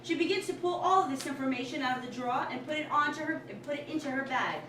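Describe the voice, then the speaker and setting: A woman's voice: high-pitched, quick pace. A woman narrator reading out stage directions in scripted narration at a staged reading. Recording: distant, echoey live room with clear noise.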